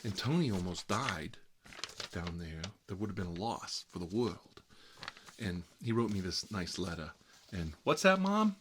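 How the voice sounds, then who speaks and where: Low male voice, man acting as fussy gossipy homebody, flustered rambling, ASMR comedy role-play, noisy recording with clicks. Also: sing-song, measured pace. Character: Marvin, fussy gossipy man living with his mother. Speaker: ASMR channel host. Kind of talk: ASMR comedy role-play, one-sided chat with a visitor.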